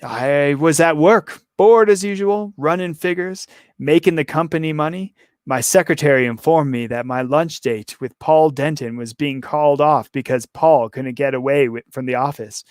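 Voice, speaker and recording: A hoarse man reading a calm, gleeful male serial killer in custody, slow, low and matter-of-fact. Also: no room sound, clean studio sound, close to an everyday voice.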